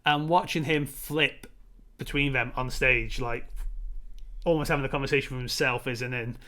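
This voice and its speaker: A man's voice: medium pitch, fairly even intonation, normal speed, bright, forceful tone. Rambling, enthusiastic man in casual chat. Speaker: co-host of a film discussion show.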